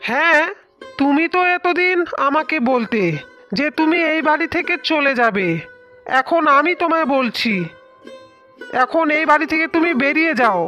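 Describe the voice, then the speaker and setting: An aggrieved village husband from a cartoon fairy tale played by a woman. The voice is high, that of a protective father, over a music bed. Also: normal speed, bright, forceful tone. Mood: indignant, firm. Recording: music under the voice.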